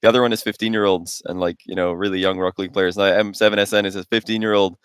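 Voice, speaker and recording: Deep-voiced man in his late twenties. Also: steady tone, racing, clean tone, no echo, clean studio sound. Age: late 20s. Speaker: podcast co-host. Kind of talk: casual gaming podcast chat.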